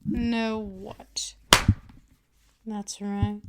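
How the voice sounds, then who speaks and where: A woman's voice: mid-range voice, little pitch movement, very slow, very noisy. A woman playing a dominant, patient vampire mistress in a scripted ASMR roleplay, speaking in a condescending, teasing purr straight to the listener. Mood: domineering. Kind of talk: scripted ASMR roleplay, intimate second-person address.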